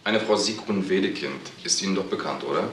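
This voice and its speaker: An actor playing a grave police investigator in a crime radio play, blunt and formal. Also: male voice, deep voice, fairly quick, a bit bright, slight background noise.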